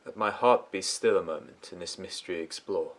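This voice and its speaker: A man's voice: low-pitched, fairly quick. A man performing a poem as a dramatic recital.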